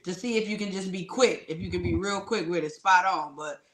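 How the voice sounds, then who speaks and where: A woman from Ohio, a stage-play cast member, talking in a quick, upbeat, agreeable way. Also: lowish voice, a little hiss or hum.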